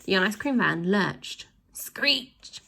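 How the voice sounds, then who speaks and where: Slow, lively woman reading a storybook. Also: medium pitch, creaky, slightly rough. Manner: lively character voices, sound effects. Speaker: storybook reader. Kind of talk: reading a children's book aloud.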